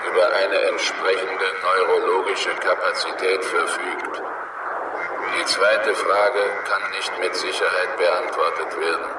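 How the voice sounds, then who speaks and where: A man playing a vast, calm, superior alien cloud in a 1960s radio play, speaking in a measured, formal way. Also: sits low. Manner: slow, measured, formal delivery. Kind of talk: 1960s German science fiction radio play acting. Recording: distant echoey voice under loud radio noise.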